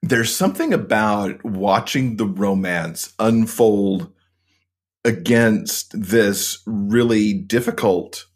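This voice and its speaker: Husky low-voiced man. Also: lively intonation, slow. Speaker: podcast co-host, interview guest. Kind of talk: casual conversation.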